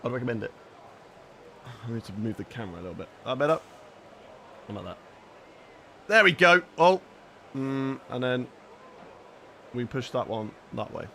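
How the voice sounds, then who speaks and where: A man's voice: medium pitch, expressive, moderate pace, gravelly, long pauses, bright, forceful tone, a little hiss or hum. A young man streaming a racing game, chatting with his viewers and answering their questions as he plays. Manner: chatty, reads and answers chat while playing. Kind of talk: live gaming stream chat.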